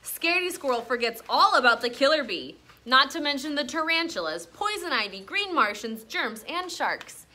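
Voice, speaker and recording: A woman with a bright, fairly high voice reads aloud as a schoolteacher. She is expressive and instructional, stopping to explain and check in with questions. There is faint noise behind her. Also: moderate pace, few pauses. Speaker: elementary school teacher. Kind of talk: classroom read-aloud with teaching commentary.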